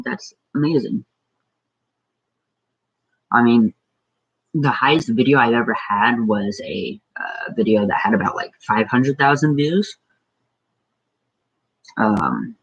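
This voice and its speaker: A teenage boy with an Arizona accent chats through a live-stream Q&A in a mid-pitched voice, stopping often on ums and likes before offhand answers. The sound is dry and close, with no room echo, as if he were sitting at his computer. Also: slightly rough, lo-fi sound. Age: teens. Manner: hesitant, lots of ums and likes, reads questions aloud then answers offhand. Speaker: small YouTuber hosting a live Q&A. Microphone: computer mic.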